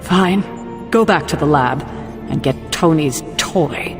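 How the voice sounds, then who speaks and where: A woman's voice: low-pitched, slow. An actress playing a stern, worried female team leader in a dramatic video game cutscene, firm and disapproving. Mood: resigned, frustrated. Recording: long reverb, music behind.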